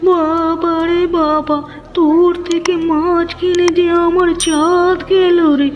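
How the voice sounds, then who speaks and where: A woman's voice: extremely high pitch, flat delivery, slow. A woman voicing an indignant, complaining old village woman in a cartoon. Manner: scolding, complaining. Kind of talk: cartoon dialogue acting. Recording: muffled narrow-band audio with music.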